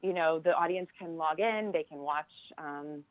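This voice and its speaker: A low American female voice comes through a thin, phone-like connection with no room echo. She talks as an interview guest, conversational, earnest and appreciative. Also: moderate pace. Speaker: theater professor, interview guest. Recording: dull, phone-like band-limited line.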